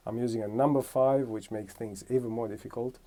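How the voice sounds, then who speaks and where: A man's voice: medium pitch, fairly even intonation, fairly quick, mellow. A man from Romania giving a casual music lesson, thinking aloud and correcting himself as he explains. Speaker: music teacher.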